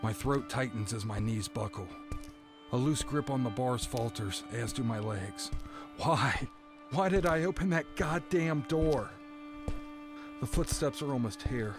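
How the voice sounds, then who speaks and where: A man's voice: medium pitch, animated, a little slow, natural voice. An actor playing a dread-filled prisoner awaiting his doom, delivering a brooding first-person monologue in an audio drama. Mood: haunted, then desperate. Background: background music.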